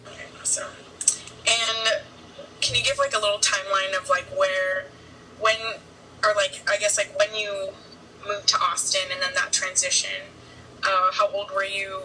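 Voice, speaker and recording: A woman's voice: mid-range voice, steady tone, moderate pace, sharp tone, distant mic, a little hiss or hum, rough recording. A woman conducting an interview, asking rambling questions full of likes.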